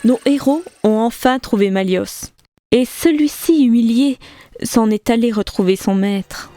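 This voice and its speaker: A woman's voice: fairly high pitch, measured pace, mellow, no room sound, close to an everyday voice. A woman playing the narrator of a fantasy audio saga, reading scripted narration dramatically. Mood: dramatic, suspenseful. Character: dramatic female storyteller narrator. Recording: dry, faint music behind.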